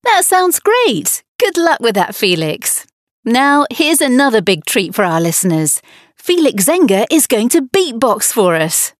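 A woman's voice: a little high, very animated, measured pace, dry recording, studio quality. A woman playing a cheerful kids' radio host. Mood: upbeat. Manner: upbeat, clearly enunciated questions. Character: cheerful female host of a children's internet radio show. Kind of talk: scripted textbook dialogue.

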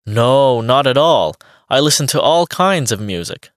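A man's voice: mid-range voice, lively intonation, a little slow, no echo, studio quality, lightly acted. A man playing a friendly young beatboxer. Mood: plain. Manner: plain, friendly, evenly paced answers. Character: friendly young male beatboxer on a radio show. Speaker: interviewee. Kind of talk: scripted textbook dialogue.

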